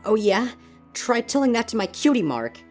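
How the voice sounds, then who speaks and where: A woman's voice: on the high side, very animated, moderate pace, a little mellow. A woman acts as a young schoolgirl pony racked with guilt. She sounds anguished and pleading, tripping over her words in a fan-made audio drama, over a music bed. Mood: defiant outburst. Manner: stammering, pleading. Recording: music under the voice.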